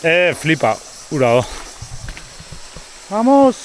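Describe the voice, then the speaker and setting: A man's voice: on the high side, brisk. A man from the Basque Country with a Basque accent, a native Basque speaker, chatting casually as he vlogs his travels outdoors. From Basque Country, Spain. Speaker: travel vlogger. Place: outdoors, rural tropical trail and roadside. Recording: noisy outdoor vlog, insects and ambience.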